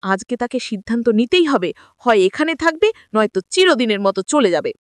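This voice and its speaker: A woman's voice: on the high side, big pitch swings, a little fast, no room sound. A woman voicing a stern older matriarch in a family soap, firm and commanding.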